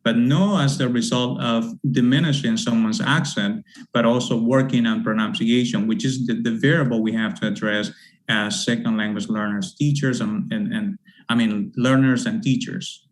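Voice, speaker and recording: A man's voice: mid-range voice, steady tone, moderate pace, poor audio. Man, lecturing. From Honduras. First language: Spanish. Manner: rambling, many fillers and repeated words. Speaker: university English teacher. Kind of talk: academic presentation.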